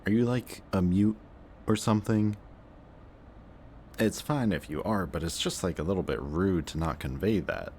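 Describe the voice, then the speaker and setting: A man's voice: deep voice, moderate pace, long pauses, a little mellow, a little hiss or hum, lightly acted. A young man playing a cocky, sarcastic antihero villain with a secretly kind streak, talking in casual, teasing banter in a roleplay audio drama. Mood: casual, coaxing. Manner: casual, sardonic, teasing banter with muttered asides.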